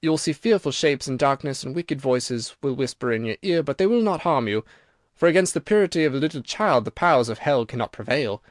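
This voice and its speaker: A man reading aloud and voicing a lonely, weary old ghost, speaking low and dreamily. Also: lively intonation, brisk, dry recording, studio quality, close to an everyday voice. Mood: solemn. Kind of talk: audiobook reading.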